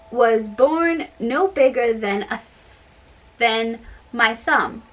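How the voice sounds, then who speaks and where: Female storyteller, higher-pitched and very bright, halting read-aloud of fairytales. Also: low-quality recording.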